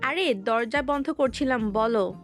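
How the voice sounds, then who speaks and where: A young girlfriend in an audio drama, played by a woman, with a fairly high voice. She sounds conversational and sceptical, cautious with a teasing edge. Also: unhurried, faint music behind, lightly acted. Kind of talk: dramatised audio story dialogue.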